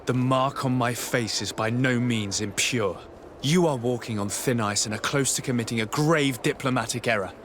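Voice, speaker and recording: A man playing a dignified noble diplomat in a fantasy game, formal and measured, courteous but firm. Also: mid-range voice, fairly bright tone, slight background noise, close to an everyday voice. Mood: firm, composed. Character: composed, dignified young noble diplomat, male. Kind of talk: fantasy video game acting.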